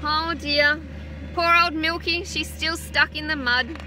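An Australian woman in her thirties, a teacher gently reading a picture book aloud to children outdoors on a farm, adding chatty asides. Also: high voice, fairly even intonation, slow pace, a little hiss or hum, rough recording. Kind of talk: children's story time read-aloud. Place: outdoors on a farm beside a utility vehicle. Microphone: phone camera held close.

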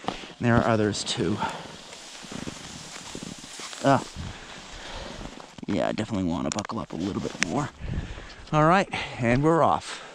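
A man's voice: lowish voice, sing-song, measured pace, pauses often, noisy background. A man casually narrating a backcountry ski tour outdoors, rambling with many fillers. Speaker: ski vlogger. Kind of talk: casual narration while skiing. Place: outdoors on a snowy mountain trail.

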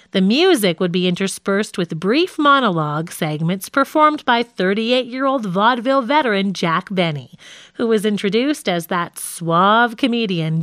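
A woman's voice: medium pitch, fairly bright tone, no room sound, clean studio sound. A woman reading a nonfiction audiobook as scripted narration. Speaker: audiobook reader.

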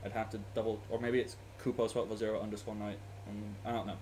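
A man's voice: lowish voice, little pitch movement, fast, a little mellow, slight background noise. A man in his thirties on a headset, running a tabletop game from a small room at home, rambling with fillers and laughing often. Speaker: game master. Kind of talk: running a tabletop game over a video call.

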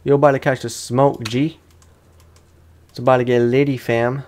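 A man's voice: medium pitch, flat delivery, normal speed, a little mellow. A man doing casual let's play commentary, talking in an informal, slangy way and often repeating his phrases. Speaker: gaming streamer.

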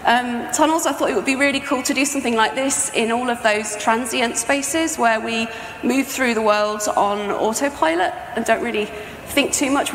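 A woman's voice: a little high, fairly bright tone. Woman presenting in an echoey hall. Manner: conversational, explanatory. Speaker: sound artist presenting a project. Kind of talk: conference talk, informal presentation. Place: indoor talk venue with projection screen, on stage. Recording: echoey hall, faint background noise.

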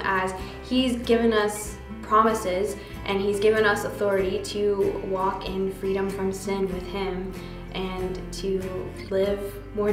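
A woman in her twenties talks quickly and with a smile, in an echoey room. A guitar is clearly heard behind her. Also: medium pitch. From United States. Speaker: interviewee. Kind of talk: reflective testimony. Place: indoor studio with dark backdrop. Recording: roomy with guitar music. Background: clear guitar music.

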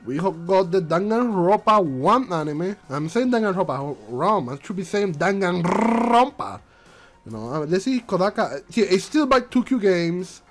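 Male vlog host, congested and stuffy, high lively voice, faint music under him, rambling non-native English. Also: moderate pace. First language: not English. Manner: rambling, repeats himself, frequent restarts. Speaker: channel host. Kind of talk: casual commentary vlog.

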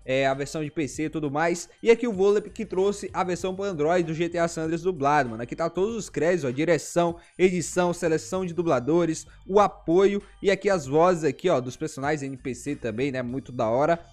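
High-voiced young Brazilian guy gaming. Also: brisk, few pauses, darkish tone. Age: 20s. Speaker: gaming YouTuber. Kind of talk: casual gameplay commentary with slang.

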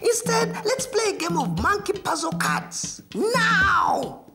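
A woman performs a bratty young monkey boy in a storybook reading. His voice is shrill and squeaky, pitched far above her own, and bossy and whiny in manner. Music plays behind him. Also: big pitch swings, slow pace, creaky, exaggerated voice. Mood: demanding.

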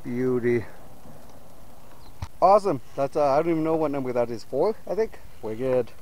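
A man vlogging from a small boat on a lake, chatting casually to viewers and getting excited as he fishes. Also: medium pitch, moderate pace, background noise. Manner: excitable, talks to viewers as "you guys". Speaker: fishing vlogger. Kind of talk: casual on-water fishing commentary.

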